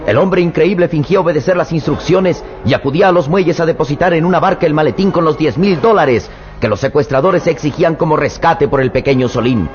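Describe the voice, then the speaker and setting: A man with a Mexican accent narrating an old radio drama in a dramatic, measured storytelling manner. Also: a little high, soft background music. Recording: muffled old radio recording with background noise.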